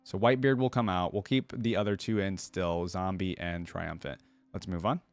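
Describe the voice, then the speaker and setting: Deeper-voiced man doing casual reaction commentary. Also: fairly even intonation, normal speed, no room sound, soft background music. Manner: informal, thinking aloud. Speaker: reaction video host.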